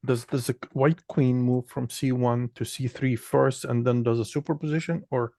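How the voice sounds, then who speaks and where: A man's voice: mid-range voice, steady tone, normal speed, mellow, dry recording. A man thinking aloud casually as a learner, hesitant and full of questions about the rules.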